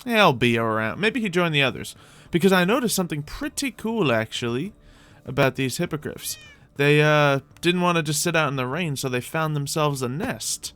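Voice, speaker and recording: A man's voice: fairly high pitch, animated, normal speed, a bit bright, smooth. A man hosting a gaming video, giving playful, chatty roleplay commentary that rambles along and often addresses the game's creatures directly.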